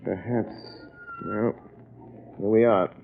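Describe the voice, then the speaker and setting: An actor playing a suave, urbane, wealthy dilettante in an old radio drama, understated in manner. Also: male voice, lowish voice, steady tone, takes it slowly, a little hiss or hum. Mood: thoughtful, knowing. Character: suave wealthy dilettante secretly a crime fighter. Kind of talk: 1930s radio drama acting. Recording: muffled, thin 1930s radio recording.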